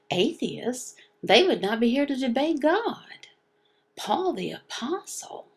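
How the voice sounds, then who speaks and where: Female religious explainer: slow, gravelly, emphatic and halting, with short repeated phrases. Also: fairly high pitch, darkish tone. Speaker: teacher voicing a signed religious lesson. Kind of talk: slow explanatory religious teaching, question and answer.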